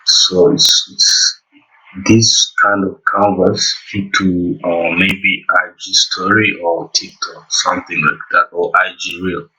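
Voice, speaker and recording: A man narrating a casual software tutorial in a halting, hesitant way, pausing mid-sentence. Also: low voice, steady tone. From Rwanda. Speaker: tutorial presenter. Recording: slightly distant microphone.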